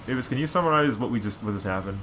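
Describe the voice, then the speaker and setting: A man's voice: medium pitch, expressive, very fast, a bit bright, low-quality recording. A man giving casual game commentary, chatty and rambling as he explains things. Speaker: let's play co-commentator. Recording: noticeable background noise.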